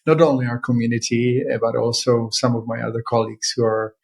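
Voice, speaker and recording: A man hosts a conversation, his voice husky and slightly breathy. He talks earnestly and haltingly, circling back with fillers and restarts as he works through his thoughts. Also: medium pitch, level tone, darkish tone. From Czech Republic. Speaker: veterinarian podcast host. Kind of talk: casual interview conversation.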